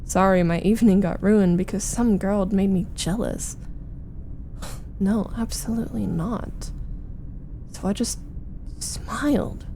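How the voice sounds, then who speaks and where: A woman playing a frustrated, jealous, needy young roommate venting in an intimate ASMR roleplay, with halting, dramatic delivery. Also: mid-range voice, dark tone, a little hiss or hum. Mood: deflated, frustrated. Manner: halting, sighing, dramatic, emphatic complaining. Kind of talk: ASMR roleplay, intimate first-person monologue.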